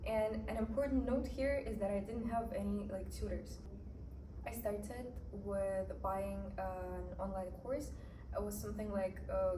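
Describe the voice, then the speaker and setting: Chatty young woman. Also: mid-range voice. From Russia. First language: Russian. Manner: chatty, lots of "like" and "you know". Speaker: vlogger. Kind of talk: casual personal story to camera. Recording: roomy echo, distant mic, background noise.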